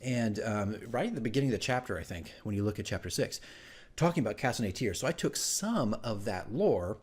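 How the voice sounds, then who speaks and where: A man telling the story of his tabletop game session as dungeon master, in a casual, animated, rambling vlog style. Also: on the low side, brisk, slightly rough. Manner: animated, rambling asides with acted-out exclamations.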